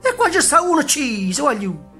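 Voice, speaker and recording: A man voicing a band of rowdy young revelers who chant a slow mock funeral song. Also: very high-pitched, faint music behind, voice pushed up. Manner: loud, mocking, drawn-out lamenting song.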